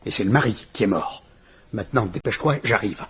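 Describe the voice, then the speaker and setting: A man's voice: fairly low pitch, animated, fairly quick, fairly bright tone, husky, full character voice. An actor playing a police commissioner who questions a frightened woman in a French radio drama. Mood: brisk.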